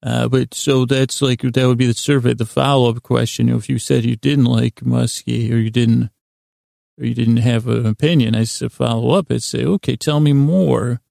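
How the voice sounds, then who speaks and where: Man with a very creaky, gravelly voice. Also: medium pitch, fairly even intonation, dark tone, no room sound, clean studio sound. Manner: halting, digressive, mumbly self-interruptions. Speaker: podcast host. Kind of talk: rambling, meandering bedtime podcast monologue.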